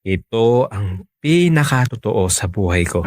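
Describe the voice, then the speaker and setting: A Filipino man acting in a radio romance drama as a smooth-talking, unfaithful married man, earnest and persuasive. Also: lowish voice, lively intonation, unhurried, darkish tone, dry recording, clean studio sound. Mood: earnest pleading. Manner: coaxing, earnest.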